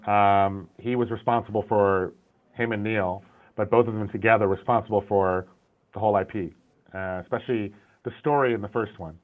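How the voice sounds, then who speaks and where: A man's voice: on the low side, normal speed. Candid middle-aged man on a tinny call. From Syrian, grew up in the US. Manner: candid, animated, frequent restarts and repeated words. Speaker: guest, concept art and animation director. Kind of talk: casual interview conversation. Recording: tinny phone-like call audio.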